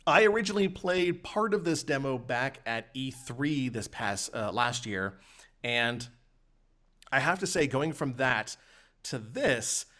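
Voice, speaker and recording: A man with an average-pitched voice hosts a casual gaming discussion. He explains things in a loose, halting way, wandering through his thoughts as he goes. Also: animated, pushed and bright. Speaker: podcast host.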